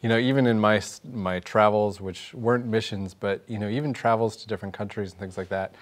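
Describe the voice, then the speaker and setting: Low-voiced American man hosting a panel. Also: steady tone, brisk. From United States. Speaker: panel discussion host. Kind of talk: casual panel discussion. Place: TV studio at a panel desk. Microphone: lapel mic.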